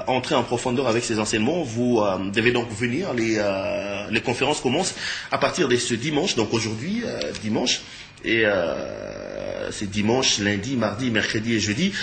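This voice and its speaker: A man with a Congolese French accent hosts a television interview in a medium-pitched voice. He addresses guest and viewers haltingly, often repeating a word while searching for the next. Faint noise sits behind him. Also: a bit bright. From Democratic Republic of the Congo. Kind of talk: spoken TV hosting and interviewing.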